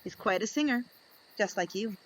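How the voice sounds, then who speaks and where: A woman reading aloud to young children in an expressive storytelling style, deliberate and fond of dramatic pauses. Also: medium pitch, slight background noise, low-quality recording.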